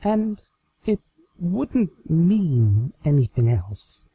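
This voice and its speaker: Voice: medium pitch, very animated, drawn-out words, dark tone, slightly rough, voice pushed up, heavily acted. A performer voicing a coy, melodramatic female cartoon pony magician in a fan-fiction reading, with an overly dramatic delivery. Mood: hesitant.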